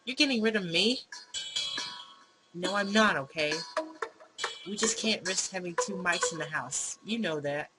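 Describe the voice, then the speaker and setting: A woman's voice: fairly low pitch, animated, unhurried, long pauses, loud music behind the voice, poor audio. A woman voicing a bewildered, argumentative man in a visual novel, with an indignant, pedantic and sarcastic manner. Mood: alarmed, indignant. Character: bewildered, argumentative man facing his own double. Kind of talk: visual novel character read-aloud. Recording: clear background noise.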